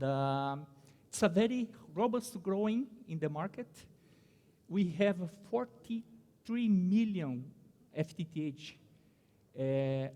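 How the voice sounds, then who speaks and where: A man from Brazil, a native Portuguese speaker, giving a conference presentation in English with a Brazilian accent, halting with many fillers and restarts. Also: fairly high pitch, mellow, in a large hall. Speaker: conference panelist. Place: conference hall.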